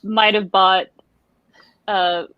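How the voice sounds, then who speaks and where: A woman's voice: medium pitch, steady tone, drawn-out words. A woman on a livestream panel, chatting casually. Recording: dull, dry lo-fi call audio.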